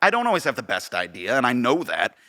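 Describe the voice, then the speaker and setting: Lively, bright-voiced man chatting on a podcast. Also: mid-range voice, quick pace. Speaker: podcast co-host, theater and audio director. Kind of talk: casual podcast conversation.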